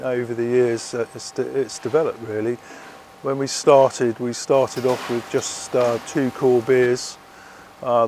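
Brewery man, flat even delivery, measured with fillers, faint hum behind. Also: medium pitch. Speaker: brewery staff. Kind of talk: interview.

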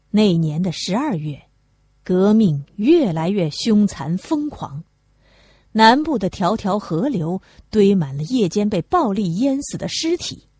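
A woman's voice: mid-range voice, warm tone, slightly rough, dry recording, studio quality. A woman reading a classic novel aloud as a narrator. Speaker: audiobook narrator.

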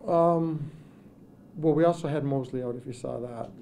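A middle-aged male hockey coach speaks into table microphones at a postgame press conference. His voice is mellow and darkish, with a faint hum behind it. He talks in halting stretches, restarting sentences and leaning on "you know.". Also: medium pitch, gravelly. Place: press room with sponsor backdrop. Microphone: table microphones in front.

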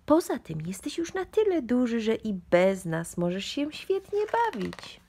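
A woman voicing a calm, gently firm parent mouse in a storybook, explaining things soothingly as if to a child. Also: fairly high pitch, a little slow, gravelly, slight background noise, heavily acted. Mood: reassuring. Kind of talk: children's storybook read-aloud.

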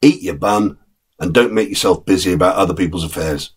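A sensible old mother dog gives homely, moralizing advice in a low, quiet voice, as a man reading the story puts her on. The tone is husky and sedate. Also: fairly quick. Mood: advising.

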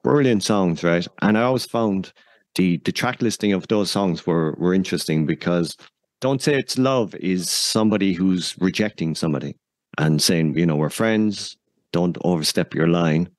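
Male podcast host, low pitch, conversational interviewer. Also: normal speed, no room sound.

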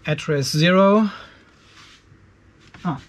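Higher-pitched man, casual workshop talk. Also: extremely slow. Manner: informal, thinking aloud, mildly sweary. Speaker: DIY video host. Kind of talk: hands-on workshop narration, casual. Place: garage workshop with metal roof. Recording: some background noise in workshop.

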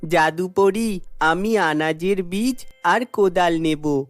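Poor little boy voiced by a woman, earnest and plaintive, unhurried pace, cartoon drama with faint music behind. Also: lowish voice, no echo. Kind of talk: children's cartoon acting.

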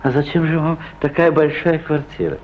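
An actor playing a polite, curious foreign journalist visiting Moscow, who speaks courteously and gallantly. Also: male voice, a little high, normal speed, a little mellow, slight background noise, lightly acted, low-quality recording. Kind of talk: stage play acting.

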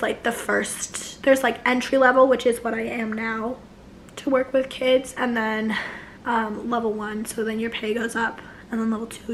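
A young woman in her twenties with a hoarse, failing voice, chatting casually to camera from her couch, rambling with many restarts. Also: fairly high pitch, a little hiss or hum, low-quality recording. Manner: rambling, many likes and restarts, gestures a lot. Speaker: vlogger. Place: living room couch, indoors.

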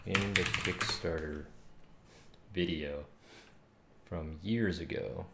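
A man's voice: sits low, fairly even intonation, takes it slowly, gravelly. A man in his thirties muttering casually as he thinks aloud on a coding livestream from a small room at home. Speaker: game developer streaming. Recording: roomy, faint noise, keyboard clicks.

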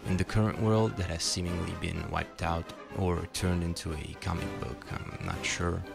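A man delivers scripted essay narration in a very low, creaky voice. His manner is dry, with wry asides, and music plays clearly behind him. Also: fairly even intonation, normal speed.

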